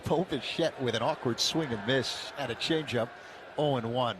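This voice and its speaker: A man calling live baseball play-by-play on radio, brisk and steady pitch by pitch. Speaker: play-by-play announcer. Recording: noisy radio broadcast.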